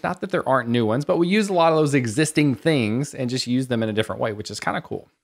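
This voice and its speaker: Man giving an upbeat technical conference talk. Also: mid-range voice. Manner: enthusiastic, conversational explainer. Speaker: conference speaker and developer educator.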